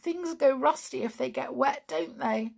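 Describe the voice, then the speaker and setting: A woman voicing a gentle male clockwork clown toy who whimpers and sobs in a high, quavering voice. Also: a little slow, creaky, exaggerated voice. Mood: woeful.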